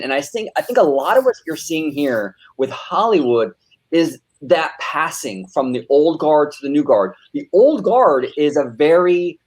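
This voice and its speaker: A man hosting a casual commentary discussion, making his points with emphasis. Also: fairly high pitch. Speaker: discussion host.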